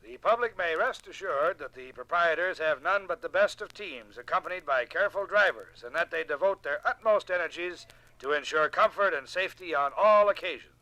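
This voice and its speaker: A pompous nineteenth-century proprietor in an old radio play. The voice is sharp and fairly high, closely recorded and dry, with florid declamatory delivery. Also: male voice, expressive, a little fast, no room sound, low-quality recording. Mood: proud, proclaiming. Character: pompous 1850s stagecoach proprietor proclaiming advertisement. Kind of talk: 1950s radio drama acting.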